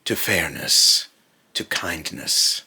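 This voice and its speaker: Deep, raspy, ominous otherworldly being voiced by a man. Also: expressive, bright, forceful tone, heavily acted. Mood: grave, brooding. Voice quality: raspy, breathy, lapsing into harsh whisper. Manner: slow, halting, repeats phrases, ominous and grave. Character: brooding otherworldly male being warning about cruel mortals. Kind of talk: dark dramatic monologue.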